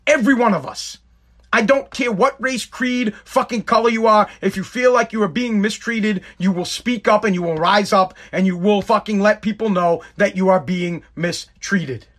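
A man's voice: high-pitched, moderate pace, fairly bright tone. A man giving an emphatic, profane monologue to his viewers, repeating himself for effect. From United States.

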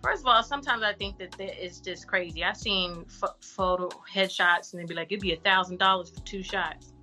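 Bright-voiced actress giving frank advice. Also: female voice, fairly quick, soft background music. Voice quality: deep voice for a woman. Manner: frank, animated advice-giving with casual slang. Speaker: actress and artist guest. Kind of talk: casual podcast interview.

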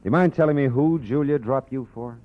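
A man's voice: mid-range voice, a little fast, no room sound. A man playing a laconic, wisecracking private detective who narrates in a 1940s radio drama. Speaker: hardboiled private eye narrator. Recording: dull old radio recording with music.

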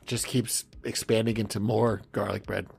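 A man's voice: lowish voice, little pitch movement, normal speed, gravelly, a little mellow, slightly rough. A male podcast co-host chatting casually, enthusiastic and rambling, swearing freely. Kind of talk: casual book-club style chat.

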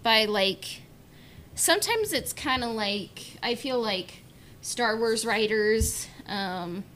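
A woman gives her opinions in a loose, casual podcast chat. Her voice is average in pitch, and she hedges and hesitates as she goes. A rumbly noise is audible behind her. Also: fairly bright tone. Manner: hesitant, hedging, frequent "like" and "you know". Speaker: podcast panelist discussing a book.

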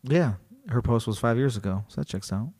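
A man's voice: mid-range voice, brisk, breathy edge. Joking male podcast host reading aloud. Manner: animated, joking, laughs often. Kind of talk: casual chat, reading a story aloud.

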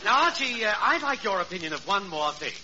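Prim, polite, smooth salesman type, high-pitched and lively, for old-time radio comedy. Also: a little fast, full character voice. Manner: prim, courteous, ingratiating. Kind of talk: 1940s radio comedy acting. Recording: noisy, muffled old radio recording.

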